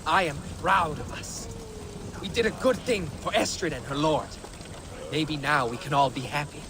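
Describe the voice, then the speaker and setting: A man's voice: on the high side, normal speed, background noise, close to an everyday voice. A man playing a hearty, poetic Viking seafarer in a video game, comradely and given to flowery phrasing. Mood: proud. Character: hearty, poetic Viking ship captain, fond of celebration. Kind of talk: video game cutscene acting.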